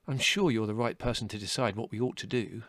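A man's voice: fairly low pitch, quick pace, a bit bright, dry recording. A man voicing an earnest young rabbit in a storybook, hesitant and deferential, looking out for his brother.

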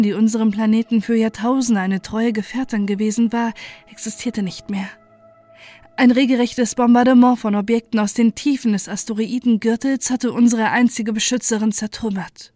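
A woman reading a scripted first-person horror story in a measured, grave storytelling manner. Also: a little high, warm tone. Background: music.

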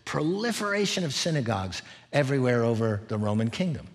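A man's voice: mid-range voice, big pitch swings, brisk. Middle-aged man preaching a teaching sermon. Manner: explanatory, often checks in with "right?" and "okay?". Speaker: preacher, former missionary. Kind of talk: expository sermon, teaching in numbered points.

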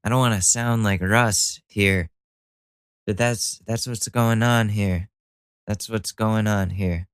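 A man's voice: on the low side, steady tone, takes it slowly, clear, resonant tone, dry recording, clean studio sound. A young man hosting a solo podcast, talking casually with a stuffy nose, rambling and joking at his own expense with the odd swear word. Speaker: podcast host.

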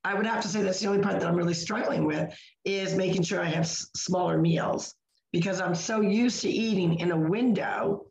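A hoarse woman, co-hosting a chatty podcast and often correcting herself mid-sentence. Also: lowish voice, brisk, echoey room, over a noisy crowd, rough recording. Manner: rambling, self-correcting. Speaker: co-host of a wellness podcast. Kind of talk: casual chat.

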